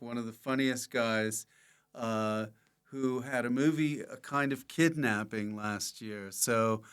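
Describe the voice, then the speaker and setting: Slow-talking, bright-voiced man hosting a comedy podcast. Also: medium pitch, clean tone. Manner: jokey, playful, given to sudden loud exclamations. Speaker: podcast host. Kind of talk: casual comedic podcast chat.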